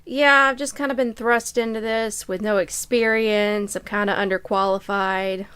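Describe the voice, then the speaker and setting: A woman's voice: medium pitch, steady tone, moderate pace, fairly bright tone, clean tone. A woman co-hosting a podcast, talking in a casual, conversational style. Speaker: podcast co-host. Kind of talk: casual chat.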